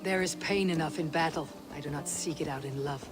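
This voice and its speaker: A woman's voice: low-pitched, a little fast, music in the background, lightly acted. An actress playing a proud, blunt Norse warrior woman in a video game, speaking in a terse, assured, stoic way. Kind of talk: video game drama acting.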